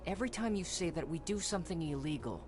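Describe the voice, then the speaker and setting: A woman's voice: lowish voice, lively intonation, quick pace, lightly acted. A woman voicing a wary, long-suffering young sibling in a visual novel game, dry and resigned. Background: game music.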